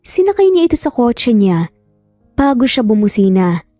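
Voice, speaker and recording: A woman's voice: fairly high pitch, very animated, normal speed, dark tone, no echo, soft background music. A woman with a Filipino accent reading a scripted, dramatic romance story as narrator.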